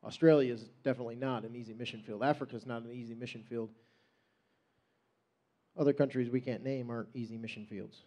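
A man's voice: mid-range voice, fairly even intonation, fast, dark tone. A man in his thirties from Western New York, a missionary giving a casual, story-driven testimony in church on a handheld mic. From Western New York, USA. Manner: conversational storytelling, self-deprecating asides, gestures while talking. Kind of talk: personal testimony sermon. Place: church stage with drum kit, indoors. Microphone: handheld microphone.

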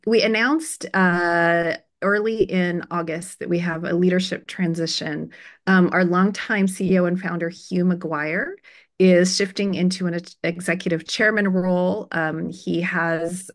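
A woman presents an update as host of an online meeting. Her voice sits fairly low with a clear creaky fry. She explains things conversationally, in an informal webinar style over a video call microphone.

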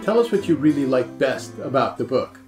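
Casting: male podcast interviewer, mid-pitched, guitar music behind. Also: sing-song, brisk. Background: plucked guitar music.

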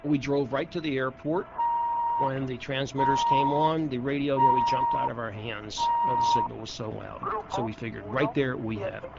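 A man's voice: mid-range voice, huge pitch swings, moderate pace, creaky. A man recounting things matter-of-factly in an interview, in muffled, noisy audio.

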